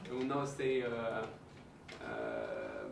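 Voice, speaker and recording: A man who is a beginner language student, hesitantly searching for words in very halting second-language speech. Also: fairly low pitch, wildly swooping pitch, vocal fry. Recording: echoey room, far from mic, faint noise.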